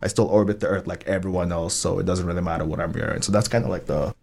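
A low-voiced man from Nigeria talks as a guest on a podcast. He is a rapper, loose and laid-back, drifting through his thoughts with plenty of "like" and "you know.". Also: steady tone, fast talker, vocal fry, darkish tone, husky. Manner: laid-back, rambling, heavy on fillers. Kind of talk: casual podcast conversation.

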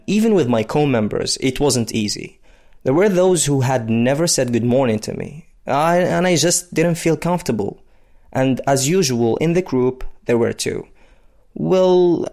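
Congolese man in his thirties, conversational first-person storytelling, mid-pitched voice. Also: a little mellow. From Democratic Republic of Congo. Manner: conversational, with frequent fillers. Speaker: interviewee, basketball player.

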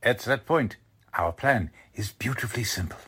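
An actor playing a smug, courteous Nazi commander who speaks deliberately, in the manner of 1940s radio drama. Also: male voice, on the low side, lively intonation, a bit bright, slightly rough, full character voice. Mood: coolly scheming. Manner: courteous, deliberate, self-satisfied. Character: smug, scheming male Nazi spymaster, a commanding officer.

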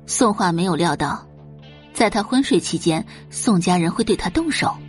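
A woman's voice: on the low side, animated, moderate pace, soft background music. A woman reading scripted, dramatic audiobook narration as the narrator of a story.

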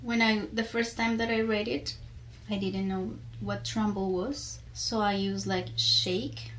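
A woman's voice: medium pitch, steady tone, unhurried, fairly bright tone. A woman from Colombia with a Colombian accent and Spanish as her first language, speaking reflectively in an interview and searching for words. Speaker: podcast guest.

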